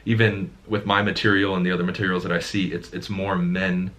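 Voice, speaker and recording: Male sales coach, very low and deep, emphatic, casual talk. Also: level tone, a little fast, fairly bright tone, highs cut off. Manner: emphatic, repeats words when making a point. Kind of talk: casual conversation.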